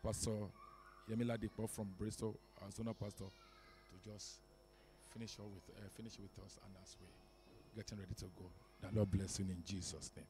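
A man's voice: lowish voice, level tone, normal speed, dark tone, a little hiss or hum. Young man hosting a church memorial. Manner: earnest, repeating blessings and thanks. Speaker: church service host. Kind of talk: announcements and thanks at a memorial service. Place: large wood-panelled church hall. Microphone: handheld microphone.

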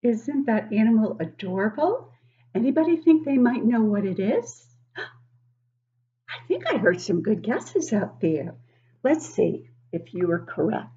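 Very animated, slow-paced older woman reading aloud. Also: on the high side, lo-fi sound. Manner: expressive, exaggerated, asks listeners questions. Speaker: teacher reading a picture book to children. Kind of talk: animated read-aloud for young children. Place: living room at home, indoors.

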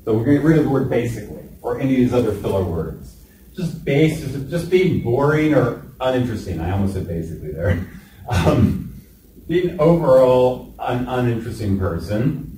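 A man in his forties runs a presentation skills workshop, upbeat and deliberate, stretching out the key points. His voice is mellow and sits at an average pitch. It was caught from across a large training room, with echo and a faint hiss. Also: sing-song. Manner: upbeat, calls on trainees by name, slows down to stress points. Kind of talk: classroom workshop lecture. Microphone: room camera from a distance. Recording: echoey room, distant mic.

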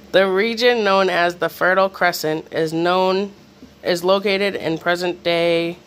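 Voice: mid-range voice, fairly even intonation, a little slow, a bit bright, smooth, low-quality recording. Someone casually reading quiz questions aloud, sometimes stumbling over the words, in a room at home. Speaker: quiz reader. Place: small room in a home, off camera. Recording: faint background noise, homemade video.

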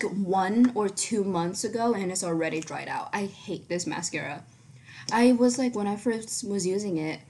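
A teenage girl chatting casually through her makeup routine in a small bedroom, rambling with lots of ums and likes, her voice fairly low for her age. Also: a little mellow, a little hiss or hum. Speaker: beauty vlogger. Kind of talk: casual makeup tutorial chat.

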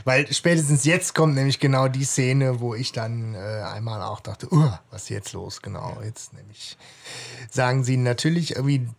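A man with a medium-pitched voice hosts a casual discussion. He feels his way through his points conversationally and steers the talk along. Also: normal speed, gravelly. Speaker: podcast host. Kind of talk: casual discussion of an audio drama.

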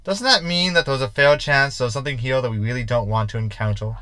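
A man's voice: medium pitch, fast talker, pushed and bright, clean tone, dry recording, close to an everyday voice. A man playing a cocky, sarcastic shapeshifting ship's hologram in a science fiction comedy audio drama, with wordy delivery. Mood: skeptical.

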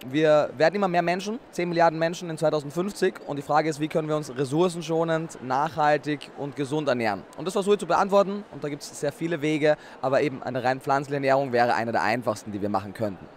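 A man's voice: a little high, fast talker, few pauses, a little hiss or hum. A young adult man from Austria, answering interview questions fluently at an indoor expo booth into a handheld mic, often chuckling before he answers. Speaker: interview guest, nutrition expert.

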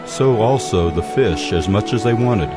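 A man's voice: lowish voice, animated, normal speed. A man reading scripture as the narrator of a dramatized production. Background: music.

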